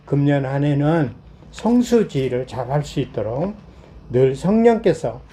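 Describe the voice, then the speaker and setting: A middle-aged man from South Korea preaching a Sunday sermon as a pastor, explaining point by point in a voice slightly hoarse from a cold. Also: fairly high pitch, sing-song, measured pace, mellow, a little hiss or hum.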